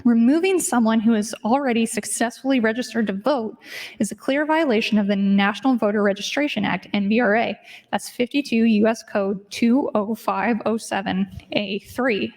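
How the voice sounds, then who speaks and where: A woman with a somewhat high voice argues on the floor of a legislative chamber, into a floor microphone. She reads statute language precisely, then drops into casual, chatty asides to press her case. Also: normal speed, darkish tone. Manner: argumentative, mixes statute readings with casual asides. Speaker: state legislator in floor debate.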